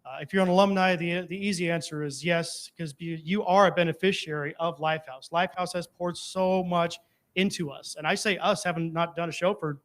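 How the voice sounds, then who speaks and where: A man's voice: fairly high pitch, steady tone, brisk, fairly bright tone. A man giving an informal, chatty testimonial as a guest before a live audience, mixing joking with earnest appeal. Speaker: guest speaker, alumnus performer of the theater.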